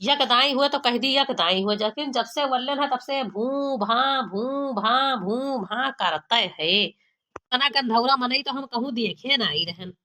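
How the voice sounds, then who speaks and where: A woman's voice: fairly high pitch, measured pace, sharp tone. A woman voicing a young village daughter-in-law with an Awadhi accent, complaining dramatically and colloquially in an amateur cartoon comedy. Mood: scolding, disgusted. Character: exasperated, embarrassed young village daughter-in-law, gossipy and scolding.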